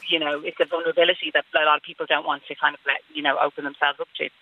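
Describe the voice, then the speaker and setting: A woman's voice: sits low, little pitch movement, racing. A woman with a Dublin accent, an actress calling in to a radio show, talking chattily with many fillers. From Dublin, Ireland. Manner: chatty, rambling, lots of fillers. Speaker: actress, phone guest. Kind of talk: casual phone interview. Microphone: phone. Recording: tinny phone line.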